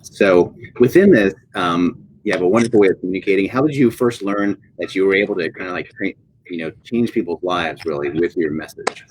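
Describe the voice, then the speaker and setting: Low-pitched man moderating, halting delivery. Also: a little hiss or hum, poor audio. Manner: halting, repeats words, clears throat. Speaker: interview moderator. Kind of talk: casual live-stream interview.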